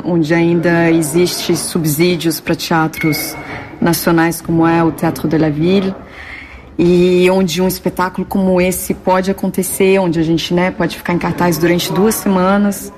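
A woman's voice: fairly low pitch, little pitch movement, measured pace, background noise. A woman with a Brazilian Portuguese accent, an actress talking earnestly and at length in an interview. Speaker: actress, guest. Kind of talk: interview conversation.

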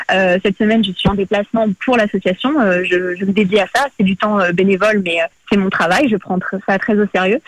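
A woman's voice: mid-range voice, no room sound, low-quality recording. A woman in her twenties, Portuguese-born with Portuguese as her first language and raised in France, speaking as a guest in a radio interview, hesitant with many fillers and restarts. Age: 20s. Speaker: association president, guest.

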